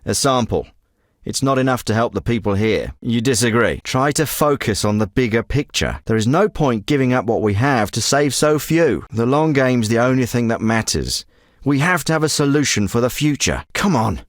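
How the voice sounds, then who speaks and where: A man plays a middle-aged covert operations handler in a military shooter game, clipped and commanding with the odd curse. His lines swing up and down in pitch, and they are recorded dry and close with no room sound. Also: lively intonation, moderate pace, no room sound, lightly acted. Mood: cold. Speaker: special forces handler. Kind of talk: game voice acting.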